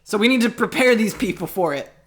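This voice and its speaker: A man with a notably high voice co-hosts an improv comedy show. His delivery is casual and playful, full of loose banter between games. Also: expressive, quick pace, fairly bright tone. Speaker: podcast co-host.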